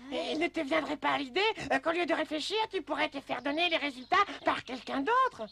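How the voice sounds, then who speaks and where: A woman voicing a chatty, preachy bird in a dubbed cartoon, creaky and sharp, with an exclamatory, moralizing way of talking. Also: sits high, expressive, brisk, full character voice. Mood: cheerful, sly.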